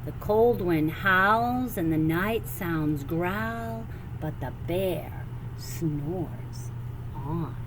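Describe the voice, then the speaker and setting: A woman reading a children's picture book aloud with animated, sing-song expression and drawn-out phrases. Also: fairly low pitch, noisy background. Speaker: storybook reader.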